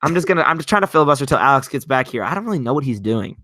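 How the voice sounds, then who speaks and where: A man's voice: mid-range voice, big pitch swings, racing, bright, forceful tone, no room sound, clean studio sound. A nineteen-year-old man co-hosting a casual podcast, chatty and rambling with lots of "like". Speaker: podcast co-host. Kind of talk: casual chat. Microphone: home mic, talking online.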